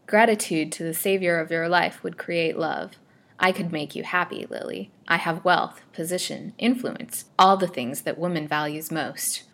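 Possessive gentleman suitor, as a woman narrator acts him, ardent, florid, melodramatic, storybook romance. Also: lowish voice, moderate pace. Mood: coaxing, insistent. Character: ardent, possessive male suitor, pleading and menacing.

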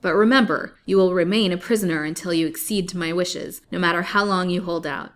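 A woman reading the lines of a scheming male villain, speaking smoothly and commandingly. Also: on the low side, moderate pace, natural voice. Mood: angry.

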